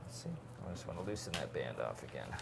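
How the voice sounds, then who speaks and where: A man working as a dentist who calmly explains each step of a procedure to his patient and the viewers as he works. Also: a bass voice, fast, vocal fry, very noisy. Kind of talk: narrating a dental procedure.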